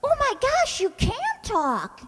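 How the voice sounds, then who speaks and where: A woman playing a ditzy, soft-hearted, stubborn young secretary who muddles her words, in old-time radio comedy style. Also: very high-pitched, huge pitch swings, slow, heavily acted. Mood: flustered. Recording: echoey hall, long reverb.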